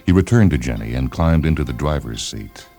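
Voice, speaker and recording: A man with an extremely deep voice narrates fiction for a radio program. His delivery is measured and deliberate, with faint music playing underneath. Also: animated, warm tone. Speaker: narrator, story reader. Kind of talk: scripted dramatic narration of fiction.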